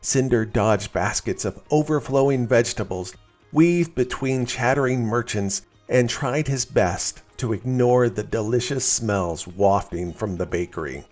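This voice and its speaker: A man reading a scripted children's story as narrator, in an expressive storytelling style. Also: medium pitch, unhurried, soft background music.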